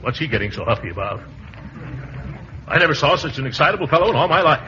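An actor playing a pompous, easily riled middle-aged uncle in a blustering, theatrical 1940s radio comedy style. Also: male voice, fairly high pitch, big pitch swings, fast, sharp tone. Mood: huffy. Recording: muffled, hissy old radio recording.

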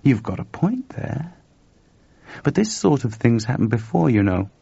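A man's voice: medium pitch, very animated, measured pace, vocal fry, warm tone, breathy edge, no echo, pitched above the performer's own voice, exaggerated voice. A man voicing a skeptical, grumbling male engineer in an audiobook story, wry and colloquial. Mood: reasoning, unbothered. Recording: dull lo-fi dry recording.

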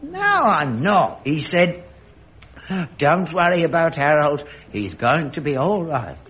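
An actor playing an earnest, credulous elderly London father in a sitcom, insistent and quick to defend himself. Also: a little high, very animated, fairly bright tone, heavily acted. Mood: solemn, insistent. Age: older. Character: credulous, indignant elderly father, rag-and-bone household. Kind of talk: studio sitcom acting. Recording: dull lo-fi archive recording.

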